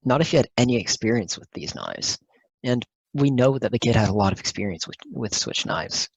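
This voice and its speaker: Streetwise, excitable juror, played by an amateur actor, husky voice, amateur audio drama. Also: medium pitch, brisk, low-quality recording. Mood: explaining. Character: excitable juror from a rough neighborhood.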